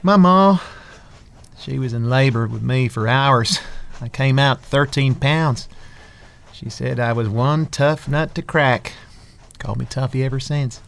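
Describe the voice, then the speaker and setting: An actor playing a tough, plain-spoken young farm runaway in an audio drama, with a folksy way of talking. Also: male voice, medium pitch, unhurried, gravelly, fairly bright tone. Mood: wry.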